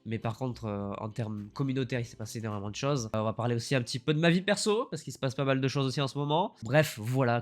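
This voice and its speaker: A man with a head cold, his voice a bit lower and husky, chatting enthusiastically through a year-in-review vlog. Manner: chatty, enthusiastic, rambling with fillers. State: congested with a cold. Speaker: YouTuber.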